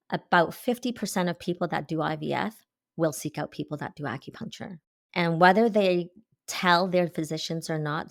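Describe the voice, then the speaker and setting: A woman's voice: fairly low pitch, vocal fry, dry recording, studio quality. A woman in her fifties, an acupuncturist giving earnest, explanatory answers in an interview. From Canada. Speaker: guest, acupuncturist.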